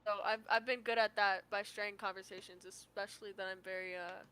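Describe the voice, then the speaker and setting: A woman's voice: mid-range voice, fairly even intonation, brisk, a bit bright, clear, resonant tone, poor audio. A young American woman telling stories in casual chat, with a slangy, sweary, rambling manner. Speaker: livestreamer.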